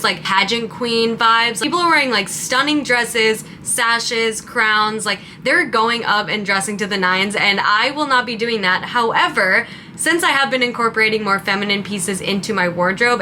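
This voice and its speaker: A woman's voice: fairly high pitch, bright, forceful tone, a little hiss or hum. A woman in her late twenties, from Montana and raised in Southern California, chatting casually and rambling while doing her makeup at home. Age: 20s. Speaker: content creator. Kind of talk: casual get-ready-with-me chat.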